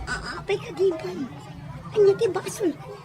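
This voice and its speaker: A woman's voice: extremely high pitch, lively intonation, moderate pace, music in the background, crowd noise. A woman playing a frightened, pleading young housemaid in an Ilocano audio drama, timid and deferential. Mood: nervous.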